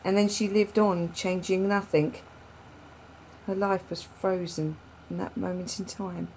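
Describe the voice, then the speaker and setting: A woman's voice: mid-range voice, fairly even intonation, unhurried, a little mellow, slight background noise, natural voice, rough recording. An actress playing a frank, wry, grieving woman in a naturalistic radio drama, speaking reflectively and repeating phrases for emphasis. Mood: wistful, wry. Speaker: radio play actor.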